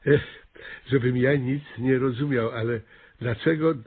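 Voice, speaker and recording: A blustering small-town mayor in a radio comedy. He is none too bright, stammers and repeats himself. The voice is a little husky and the recording dull and lo-fi. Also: mid-range voice, steady tone, unhurried. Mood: baffled, hesitant. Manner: hesitant, stammering, repeating words. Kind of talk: Polish radio play comedy acting. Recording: slightly muffled, lo-fi radio recording.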